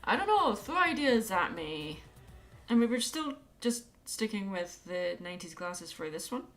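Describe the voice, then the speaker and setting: A woman's voice: mid-range voice, big pitch swings, normal speed, a bit bright. A woman chatting casually on an art livestream as she draws, musing aloud and thinking as she goes. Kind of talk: casual livestream chat while drawing. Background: background music.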